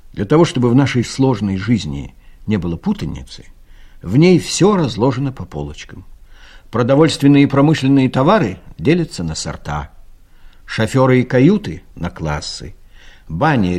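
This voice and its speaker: A man narrating an audio drama as a wry storyteller, reading scripted literary prose in a measured way. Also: on the low side, darkish tone, slightly rough.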